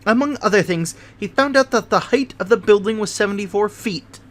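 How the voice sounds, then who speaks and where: A man voicing an excitable, fragile hypochondriac gentleman who chatters eagerly in a high voice, in a storybook reading. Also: brisk. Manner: high voice rising above the noise, eager chatter.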